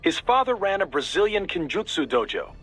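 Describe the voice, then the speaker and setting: An actor playing an easygoing, knowledgeable mission support adviser in a video game, giving a casual, explanatory briefing in a husky voice. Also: male voice, high voice, sing-song, brisk, a bit bright, faint music behind, exaggerated voice, poor audio. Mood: informative, relaxed. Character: easygoing male military intelligence support adviser, knowledgeable, informal.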